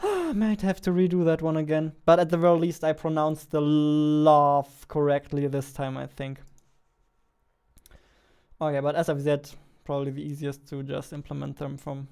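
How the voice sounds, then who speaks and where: A man's voice: fairly high pitch, normal speed, gravelly, a little mellow, smooth. A young man giving casual work-along commentary, thinking aloud in a joking, self-mocking way at his desk. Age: young adult. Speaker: indie game developer vlogging. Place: indoors at a computer desk.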